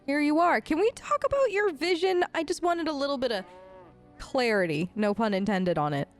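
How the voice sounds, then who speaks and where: A woman hosting a let's play, improvising in character in a casual, halting, supportive conversational way. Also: high voice, animated, soft background music.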